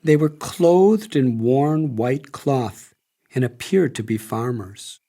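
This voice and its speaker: Slow, reflective older man narrating. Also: mid-range voice, lively intonation, warm tone. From United States. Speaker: author reading his memoir. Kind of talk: audiobook narration. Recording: light music under the voice.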